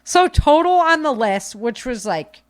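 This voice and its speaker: A woman's voice: high-pitched, expressive, measured pace, no room sound. An American woman hosting a podcast, talking casually and off the cuff in an emphatic, conversational way. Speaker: podcast host. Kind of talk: casual unscripted podcast commentary.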